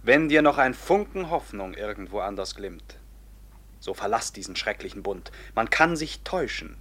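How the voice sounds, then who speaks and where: An actor playing a brooding, commanding, noble-born robber captain in a radio play, declaiming theatrically between hushed murmurs and ringing outbursts. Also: male voice, lowish voice, big pitch swings, moderate pace, slightly rough, heavily acted. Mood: grave, urgent. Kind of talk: classic German radio play acting.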